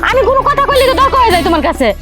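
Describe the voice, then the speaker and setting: A woman's voice: extremely high pitch, big pitch swings, rapid-fire, over loud music. A woman with a Bangladeshi accent acting an impatient married daughter who scolds her husband in a family comedy drama. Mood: aggrieved, defiant.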